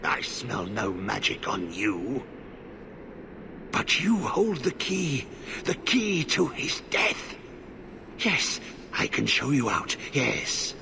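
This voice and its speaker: An actor playing a half-mad, blighted old warden in a video game, muttering in halting, repetitive fragments. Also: male voice, medium pitch, big pitch swings, vocal fry, background noise, exaggerated voice. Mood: muttering, agitated. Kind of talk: dramatic video game acting.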